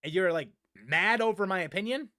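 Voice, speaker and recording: A man's voice: sits high, expressive, fairly quick, pushed and bright, no room sound, clean studio sound. A man streaming casual game chat, rambling and often repeating his phrases for emphasis. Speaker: gaming streamer answering viewers. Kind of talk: casual livestream chat about games.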